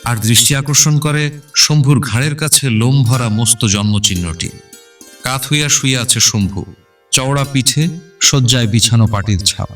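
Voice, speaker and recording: A man's voice: mid-range voice, moderate pace, a bit bright. Male story narrator, slightly distant, over music. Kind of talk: dramatic scripted literary narration. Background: background instrumental music.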